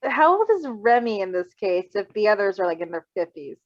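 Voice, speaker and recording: A woman's voice: mid-range voice, sing-song, a little fast, dry recording. A woman chatting casually as a co-host, reacting to a story in a playful, informal way, on a dull, slightly muffled mic. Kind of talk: casual chat.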